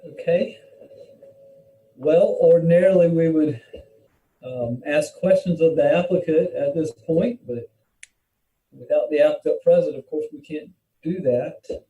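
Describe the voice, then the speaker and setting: A man chairing a public meeting informally, speaking in a polite, halting way. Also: on the high side. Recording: muffled, distant from the mic.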